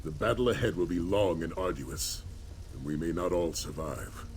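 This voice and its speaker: An actor playing a grave, weary but hopeful warrior leader in a fantasy video game, speaking in solemn, measured oratory. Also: male voice, sits low, lively intonation, darkish tone, slight background noise. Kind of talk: video game voice acting, dramatic fantasy dialogue. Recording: game audio with faint background ambience.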